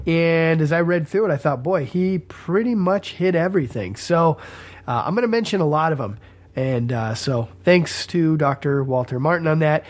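Male podcast host with a head cold, a touch high-voiced, congested and chatty. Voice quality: congested, scratchy throat. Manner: casual and chatty, coughs and pauses. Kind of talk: teaching podcast monologue.

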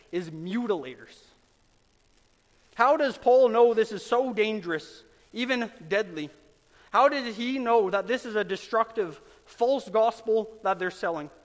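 A man with a high voice preaching from the pulpit, earnest and emphatic. He leans into dramatic pauses, and his words ring out with a long reverb in a church sanctuary. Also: fairly bright tone. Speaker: pastor. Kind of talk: sermon.

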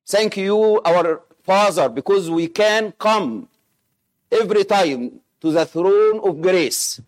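A middle-aged man from Egypt, Arabic-speaking, with an Egyptian accent, preaching and praying in halting English with many pauses and fillers. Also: high voice. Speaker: missionary preacher. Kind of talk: sermon with scripture reading and prayer.

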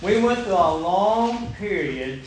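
Folksy older preacher in echoey church. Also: male voice, high-pitched, animated, slow, slight background noise. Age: 70s. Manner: folksy, emphatic, calls for amens. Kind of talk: sermon. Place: church sanctuary.

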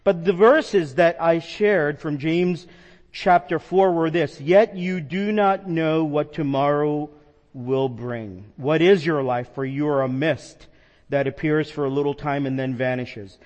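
A man's voice: fairly high pitch, measured pace, darkish tone, in a large hall, muffled recording. A man from the New York metro area preaching a sermon as a pastor, earnest and conversational with some fillers. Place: church sanctuary.

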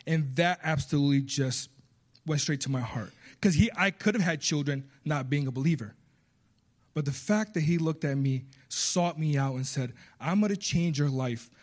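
A man's voice: a little high, moderate pace. Earnest man with slight Puerto Rican accent. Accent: slight Puerto Rican Spanish accent. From Puerto Rico. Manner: earnest, heartfelt, builds up emphatically. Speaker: guest preacher. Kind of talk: sermon.

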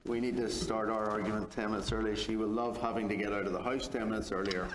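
A man from Northern Ireland with a Belfast accent, giving a theology lecture in a measured, deliberate way with touches of dry humor. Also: on the low side, level tone, noisy background. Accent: Belfast, Northern Irish. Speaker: guest lecturer. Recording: echoey lecture hall.

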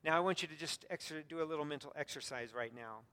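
Squeaky-voiced pastor preaching in a hall. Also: male voice, quick pace, bright, forceful tone, clear, resonant tone. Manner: earnest, self-deprecating humor, frequent fillers and restarts. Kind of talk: sermon. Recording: long reverb from a hall.

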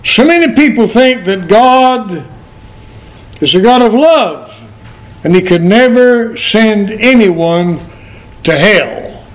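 An old man in his eighties preaching a sermon in a church, quoting scripture in slow, emphatic phrases. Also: high-pitched, animated. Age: 80s. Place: church sanctuary. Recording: very echoey church from far off.

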